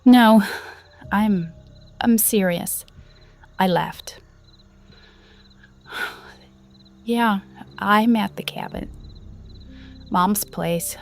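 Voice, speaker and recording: Actress as betrayed woman, creaky voice, unhurried halting speech, audio drama, conversational. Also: medium pitch, soft background music. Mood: upset, wry. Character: heartbroken betrayed woman retreating to a cabin. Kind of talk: audio roleplay drama, one side of phone call.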